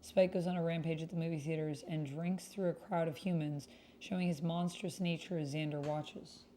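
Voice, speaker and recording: A woman with a slightly low, soft-edged mellow voice hosts a livestream of a text roleplay game. She talks straight to her viewers in a chatty way and moves between casual remarks and reading game posts aloud. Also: steady tone, normal speed, a little hiss or hum. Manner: chatty, addresses viewers directly. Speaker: streamer hosting a tabletop roleplay game.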